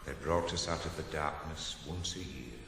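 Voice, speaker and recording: An actor playing a broken, suffering old working beast, grave and haunting, with heavy echo, in a radio drama. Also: male voice, extremely low pitch, normal speed, vocal fry. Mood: mournful, suffering. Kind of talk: 1980s radio drama acting. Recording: cavernous reverb, noisy archive radio recording.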